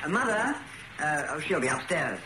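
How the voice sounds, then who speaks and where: A British actor playing a flustered, evasive hairdresser and spy in a radio drama, hesitant, stammering and polite. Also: male voice, on the high side, big pitch swings, normal speed. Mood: uneasy politeness. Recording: noisy old radio recording.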